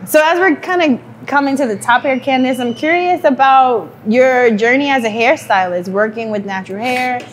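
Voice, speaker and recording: A woman's voice: high voice, normal speed, few pauses, slight background noise. A woman presenter explaining hair products and chatting with a stylist, friendly and talkative with frequent "um"s. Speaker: brand presenter. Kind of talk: casual chat and product explanation.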